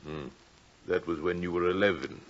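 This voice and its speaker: Stern 18th-century patriarch: low, husky, formal and unyielding, radio drama delivery on dull old tape. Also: male voice, steady tone, normal speed. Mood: grave. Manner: formal, archaic phrasing.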